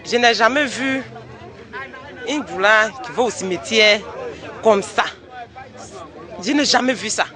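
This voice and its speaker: A woman with an Ivorian accent, a local resident giving halting testimony outdoors. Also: fairly high pitch. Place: outdoors in a town. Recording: outdoor, faint crowd behind.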